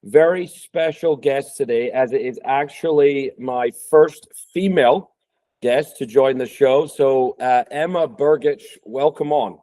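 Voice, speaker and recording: A man hosting a podcast, introducing his guest in a relaxed way with a few fillers. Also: mid-range voice, unhurried. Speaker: podcast host.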